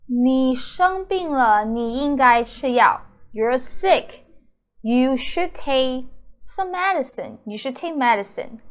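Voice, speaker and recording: Native Mandarin speaker, woman, 30s, online language teacher; high voice, unhurried delivery. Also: lively intonation. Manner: slow, careful repetition of example phrases. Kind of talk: language lesson to camera. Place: small indoor room.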